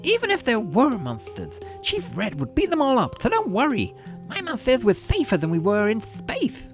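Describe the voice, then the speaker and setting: Casting: male reader as sporty young girl colonist for a visual novel, very high swooping voice, bubbly, chatty, carefree. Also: huge pitch swings, normal speed, faint music behind, full character voice. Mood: cheerful, carefree. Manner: bubbly, eager, childlike.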